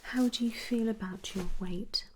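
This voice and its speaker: A British woman speaking softly and mostly in whispers as a gentle, reassuring doctor in an ASMR medical role-play. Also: on the high side, measured pace, slight background noise, low-quality recording. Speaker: ASMR performer playing a doctor.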